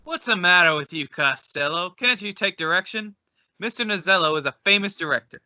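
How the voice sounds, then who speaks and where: A man playing a stern, scolding straight man in an old-time radio comedy, speaking deliberately. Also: sits high, expressive, bright, forceful tone, dry recording, dull audio, heavily acted.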